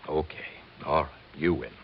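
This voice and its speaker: An American actor playing a wry, easygoing insurance investigator in an old-time radio detective drama, with a sardonic, conversational manner. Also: male voice, extremely low pitch, husky, exaggerated voice. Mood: reluctant, wry. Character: wry, breezy freelance insurance investigator, hard-boiled but easygoing. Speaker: narrator and lead actor of a detective serial. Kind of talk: old-time radio detective acting and first-person narration. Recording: muffled vintage radio recording with music.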